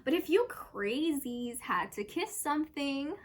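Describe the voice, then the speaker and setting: A woman's voice: sits high, animated, unhurried, pitched above the performer's own voice. A young woman playing a manic, unhinged storyteller in a theatrical dramatic monologue, erratic and breaking into laughter through her words. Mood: manic, giddy. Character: manic, unhinged young woman telling bitter absurd stories.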